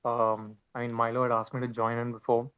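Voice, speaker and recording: Through a dull, low-fidelity connection, a man with a smooth, medium-pitched voice joins a live panel from the audience. He speaks haltingly, with a hesitant, casual delivery. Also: monotone. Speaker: audience speaker asking a question. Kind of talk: casual spoken question on a live online panel. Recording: dull low-fidelity online call audio.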